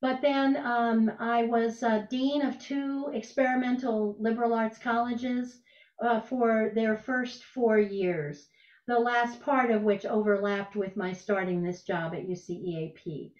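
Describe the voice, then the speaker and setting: Older woman on a video call from her kitchen, higher voice, roomy and dull sound, hesitant with lots of fillers. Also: fairly even intonation, unhurried, darkish tone. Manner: thoughtful, hesitant, many fillers. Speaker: program leader and cooking host. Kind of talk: casual video call chat. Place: home kitchen. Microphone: video call laptop mic.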